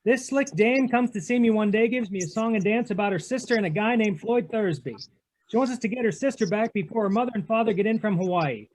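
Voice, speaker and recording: A hard-boiled American private detective, acted by a man, straight out of a forties radio play. The voice sits notably high, with cynical, wisecracking delivery and slangy tough-guy pronunciation. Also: quick pace, a little mellow, poor audio. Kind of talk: 1940s radio drama, hard-boiled narration.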